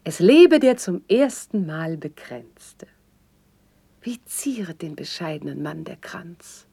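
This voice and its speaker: A poised noblewoman in a classical radio drama. Her voice is deep for a woman and husky with breath. She declaims verse slowly and graciously, in a stately manner. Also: sing-song, mellow, dry recording, heavily acted. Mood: celebratory, assured.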